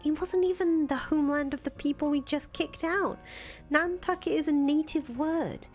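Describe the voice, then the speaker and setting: A woman's voice: high voice, moderate pace, pitched above the performer's own voice, natural voice. A woman voicing an incredulous, sarcastic young woman in a visual novel, exasperated and foul-mouthed. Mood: exasperated, doubting. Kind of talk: visual novel character voice reading. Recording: music playing behind the voice.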